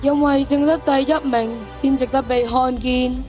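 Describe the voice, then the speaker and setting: A woman's voice: high voice, steady tone, measured pace, over music. A teenage girl playing a blunt, matter-of-fact schoolgirl in a radio drama. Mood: scornful.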